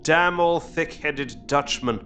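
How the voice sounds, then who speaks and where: A man's voice: fairly high pitch, takes it slowly, bright, forceful tone, soft background music. An actor playing a sullen, contemptuous male lunatic in an audio drama, with a biting, scornful manner.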